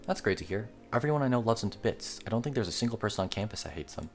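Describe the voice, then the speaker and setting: Man playing a quick, easygoing college student. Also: on the low side, lively intonation, soft background music. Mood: supportive. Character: easygoing, supportive young college student protagonist.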